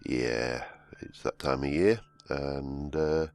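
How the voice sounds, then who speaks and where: A man, croaky from a cold, hosting casual gameplay commentary and rambling as he plays. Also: extremely low pitch, slow pace. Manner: rambling, self-correcting, humming to himself. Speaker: let's-play host. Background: soft background music.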